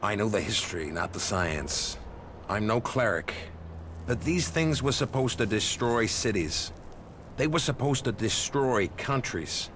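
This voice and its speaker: An actor playing a worldly, wary desert historian in a video game, explaining in a measured way. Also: male voice, fairly low pitch, animated, fairly bright tone, slight background noise. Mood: wary, uneasy. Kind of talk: expository game dialogue.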